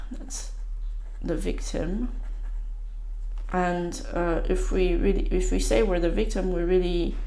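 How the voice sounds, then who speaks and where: Slow, gravelly-voiced woman musing aloud. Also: on the low side, darkish tone, poor audio. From United States. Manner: musing, halting, thinking aloud. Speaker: channel host. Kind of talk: casual talk while doodling.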